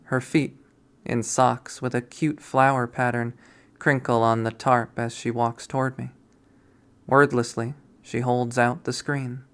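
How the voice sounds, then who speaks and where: A man narrating a visual novel readthrough in a deliberate, storytelling manner. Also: medium pitch, little pitch movement, a bit bright, clean tone. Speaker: game streamer reading a visual novel aloud.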